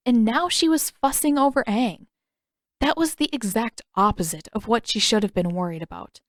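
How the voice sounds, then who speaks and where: A woman's voice: medium pitch, no echo, studio quality. A woman reading a story aloud as an audiobook narrator in scripted narration.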